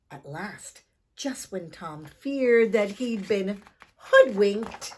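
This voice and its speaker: Animated, unhurried woman reading a storybook. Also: on the high side. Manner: theatrical, with hushed asides to the listener. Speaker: children's storyteller.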